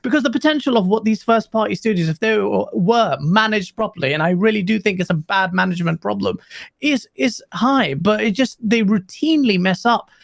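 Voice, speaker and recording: A man from Pakistan speaks in a fairly high voice with a gravelly edge, frank and opinionated. His audio is dry and close to the mic. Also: dry recording. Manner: frank, opinionated, quick asides. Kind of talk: casual podcast discussion.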